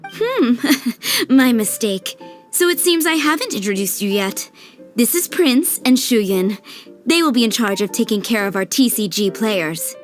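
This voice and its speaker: A woman's voice: on the high side, lively intonation, unhurried, soft background music. A woman playing a gracious, playful, teasing tavern owner in a video game dub, polite and welcoming. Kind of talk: dubbed video game cutscene acting.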